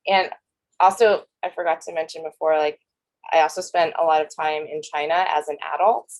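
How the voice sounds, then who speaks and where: A woman's voice: sits low, fairly even intonation, normal speed. A young woman from the United States, a community worker on a panel, talking casually with lots of fillers. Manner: casual, frequent fillers like you know. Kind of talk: panel discussion. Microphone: video call.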